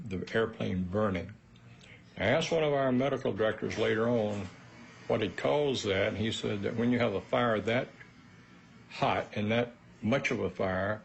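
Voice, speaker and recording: A man recalling events in an interview, halting and reflective. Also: on the low side, gravelly, slight background noise. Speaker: interviewee, airport responder. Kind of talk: interview recollection.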